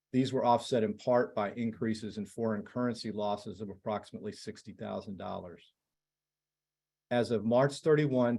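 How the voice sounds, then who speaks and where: A man, a finance chief, formally reading a scripted financial report in a measured, matter-of-fact way. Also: lowish voice, dark tone.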